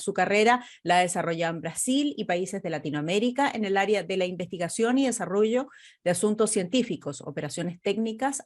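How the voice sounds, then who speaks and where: A woman moderating a university webinar over a video call, polished and welcoming as she introduces the guest. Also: mid-range voice. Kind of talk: formal introduction.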